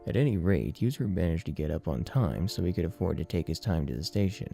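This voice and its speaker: Very deep, gravelly male audiobook narrator. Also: lively intonation, quick pace, mellow. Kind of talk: scripted story narration. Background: music.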